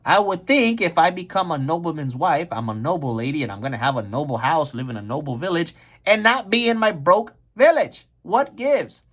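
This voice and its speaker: A high-pitched, emotional young village woman, acted out by a man for a comic story. She talks in dramatic modern slang and exclaims like someone badly wronged or amazed. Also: animated, moderate pace, hardly any pauses, darkish tone. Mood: exasperated. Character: lovestruck, shocked, exasperated young village woman.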